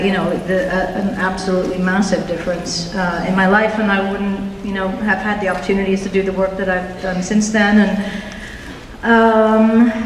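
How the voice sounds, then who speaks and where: A woman speaks haltingly and reflectively on a convention panel. She is far from the mic. The hall adds a strong echo. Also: medium pitch, a little hiss or hum.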